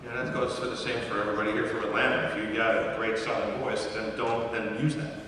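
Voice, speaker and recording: A man who works as a voice actor, chatting and bantering on a convention panel in an excitable, jokey way that repeats words. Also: fairly low pitch, fast talker. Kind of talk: casual, bantering panel talk. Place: large convention hall. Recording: distant, very echoey noisy hall.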